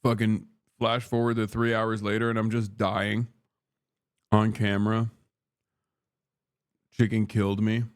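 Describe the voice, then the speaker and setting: A man's voice: lowish voice, completely flat delivery, measured pace, long pauses, smooth. A man from Turkey in his thirties, streaming live while eating and trading profane banter with his chat. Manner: profane, bantering, reads chat aloud and argues with it.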